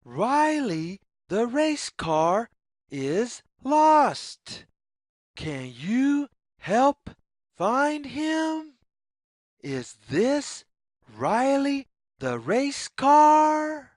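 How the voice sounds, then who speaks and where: Female children's storyteller: very slow, teacherly delivery, animated pitch swings, dry close sound. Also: no echo. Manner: very slow, deliberate, teacherly phrasing with questions to the listener. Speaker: read-aloud storyteller for young children. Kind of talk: children's story read-aloud, scripted narration.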